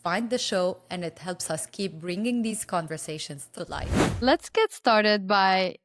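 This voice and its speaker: A woman's voice: mid-range voice, expressive, a little slow, no echo. A woman hosting a podcast interview, upbeat and encouraging, with frequent affirmations. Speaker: podcast host.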